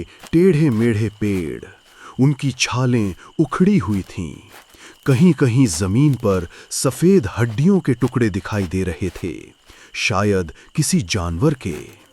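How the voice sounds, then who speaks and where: A man narrating a scripted horror story in a suspenseful, deliberate, dramatic manner. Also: lowish voice, very animated, darkish tone, slight background noise.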